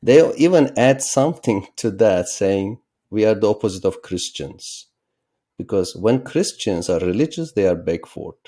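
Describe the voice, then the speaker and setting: A middle-aged man from Turkey, a political science professor explaining his views as an interview guest in a measured, lecturing way. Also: on the low side, lively intonation, warm tone. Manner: measured, lecturing, with rising emphatic stresses.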